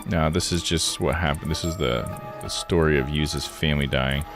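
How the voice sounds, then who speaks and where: A man streaming a visual novel, thinking out loud in casual live commentary as he reads and puzzles. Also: very deep voice, steady tone, moderate pace, over music. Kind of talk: casual live game commentary, reading text aloud.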